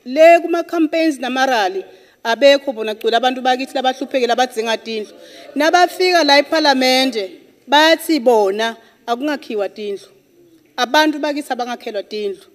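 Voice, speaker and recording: A woman's voice: sits high, fairly quick. A middle-aged woman giving an emphatic, rhetorical parliamentary speech. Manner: rhetorical, emphatic, code-switching. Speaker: member of parliament. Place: large parliament chamber, indoors. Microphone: desk microphone, seated. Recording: reverberant parliament chamber.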